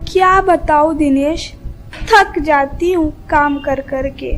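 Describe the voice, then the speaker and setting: A woman's voice: sits high, slow, a bit bright, soft background music. A woman playing a scheming, resentful young daughter-in-law in a Hindi moral story. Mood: resentful, greedy.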